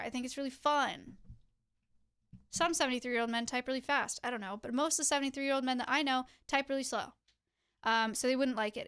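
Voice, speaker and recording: A woman's voice: a little high, a bit bright, clear, resonant tone. A young woman streamer chatting to her viewers, giving tips in a teacherly, chatty way. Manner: chatty, teacherly, addresses chat directly. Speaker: streamer host.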